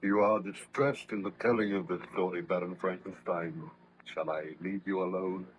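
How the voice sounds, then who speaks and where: A man playing a sober, sympathetic ship's captain with a formal, measured manner in an old-time radio drama. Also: deep voice, steady tone, natural voice. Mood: sober, concerned. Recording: noisy vintage radio recording.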